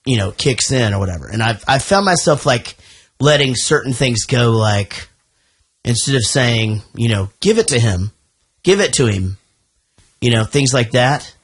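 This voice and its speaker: A man's voice: medium pitch, expressive, moderate pace, breathy edge. A man from Texas hosting a podcast, chatting casually and telling stories with plenty of swearing and laughter. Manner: profane, laughing storyteller. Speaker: podcast host. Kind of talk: casual chat.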